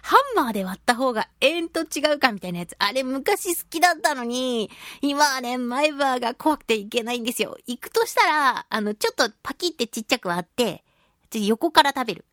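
A woman with a high, very lively voice hosts a casual radio show. She is chatty, expressive and giggly, moving easily between reading mail and reacting to it. The sound is dry and close. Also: brisk, no room sound. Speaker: radio show host and voice actress.

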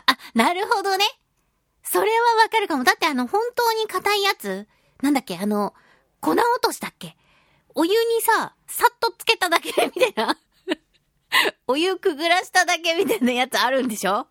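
A woman hosting a radio show, chatting casually and reading listener mail in a playful, expressive way. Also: very high voice, normal speed, dry recording, clean studio sound. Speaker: radio show host, voice actress.

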